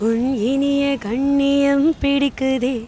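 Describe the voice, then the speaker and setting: A woman's voice: sits high, flat delivery, slow pace, mellow, faint music behind. A woman singer performing Tamil film songs as a guest out in a public place. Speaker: singer, guest on a prank call show. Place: outdoors in a public street.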